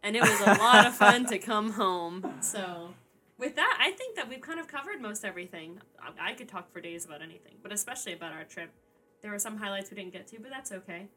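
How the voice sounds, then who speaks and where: A young woman co-hosting a casual science podcast, talking in an animated way with quick asides. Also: medium pitch, over music. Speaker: podcast co-host. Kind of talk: casual chat.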